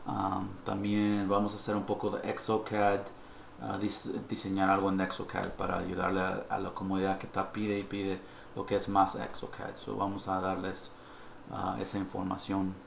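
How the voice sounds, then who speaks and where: California guy, deep raspy voice, kinda flat, halting spanish with english "so"s, echoey lab. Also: a little hiss or hum. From United States (California). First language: English (heritage Spanish speaker). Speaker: dental technician presenter. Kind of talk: live-stream dental lecture. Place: dental lab. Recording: echoey lab room, faint ambience.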